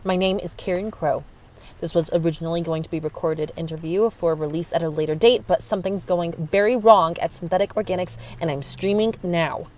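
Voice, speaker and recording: A woman's voice: fairly low pitch, brisk, a little mellow, close to an everyday voice. An actress playing a dogged, tense female investigative journalist under threat in an audio drama. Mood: urgent.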